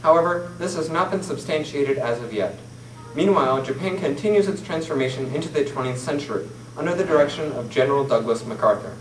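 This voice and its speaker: A teenage boy reads a formal mock newscast from a sheet of paper, his voice sitting a little high. The sound is picked up by a distant camera in a roomy classroom, with a faint hiss underneath. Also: fairly quick. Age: teens. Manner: formal, steady reading from a script. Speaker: student newsreader. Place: classroom, seated at a table. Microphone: far camera mic.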